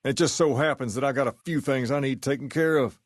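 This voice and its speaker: Video game gang boss male actor: American, folksy, confident smooth talker, medium pitch, dry studio sound. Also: fast, dry recording, natural voice. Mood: relaxed, businesslike.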